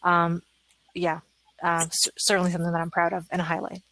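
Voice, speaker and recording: A woman's voice: lowish voice, monotone, fairly quick, a bit bright, dry recording. A woman speaking as an engineering director, answering in a conference panel discussion.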